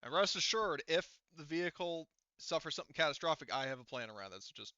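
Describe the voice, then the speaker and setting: A man's voice: fairly high pitch, expressive, quick pace, bright, forceful tone, clear, resonant tone, dry recording, muffled recording. A man working as game master, casually narrating a tabletop roleplaying game and thinking aloud with some swearing.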